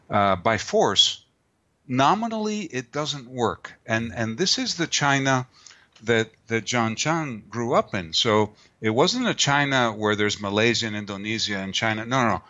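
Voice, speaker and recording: A man's voice: mid-range voice, sharp tone. A middle-aged man answering interview questions at length, rambling with many fillers and restarts. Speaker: guest author and martial artist. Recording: dull lo-fi remote audio.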